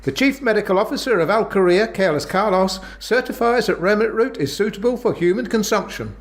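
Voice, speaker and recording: An actor playing an earnest, excitable village man and narrator in a scripted comedy radio play, with a theatrical manner. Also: high voice, brisk. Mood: grandly narrating.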